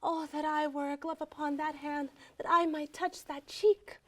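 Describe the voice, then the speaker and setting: Lovestruck young Romeo played by a woman, high-voiced. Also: level tone, moderate pace, a bit bright. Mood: hushed longing. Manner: ardent, breathless wonder, swinging emphasis. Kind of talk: Shakespearean stage monologue in verse.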